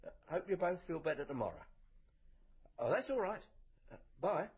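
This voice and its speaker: A man's voice: fairly high pitch, big pitch swings, normal speed, breathy edge, heavily acted. An actor playing a patient, homely, working-class male bakery shopkeeper chatting colloquially in a naturalistic radio drama. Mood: concerned, gossipy. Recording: dull lo-fi radio recording.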